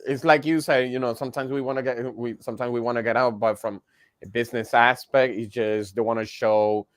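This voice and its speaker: A man's voice: mid-range voice, steady tone, brisk, no echo, clean studio sound. A man chatting casually as a panelist on a video game podcast. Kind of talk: casual panel discussion about video games.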